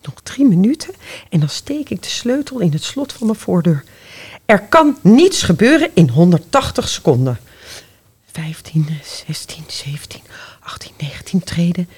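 An actress playing a frightened young woman walking alone at night, with a breathy voice and an anxious, often whispered inner monologue. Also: on the low side, expressive, normal speed, a little mellow. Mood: tense whisper. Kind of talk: radio drama acting.

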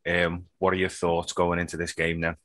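A man hosting a podcast who asks plain, measured questions. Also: sits low, completely flat delivery, a bit bright, no room sound. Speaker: podcast host.

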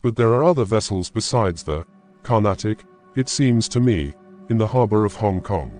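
An actor playing a calm, determined Victorian gentleman traveller in an audiobook, speaking placidly and concisely. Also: lowish voice, moderate pace, close to an everyday voice. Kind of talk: dramatized audiobook character acting. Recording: clear music bed behind voice.

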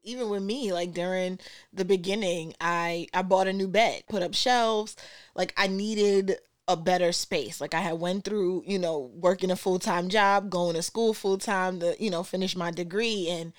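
A woman's voice: on the low side, fairly even intonation, normal speed. A woman hosting a podcast, chatting casually and affirming her guest. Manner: supportive, affirming listener. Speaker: podcast host. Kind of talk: casual conversational interview.

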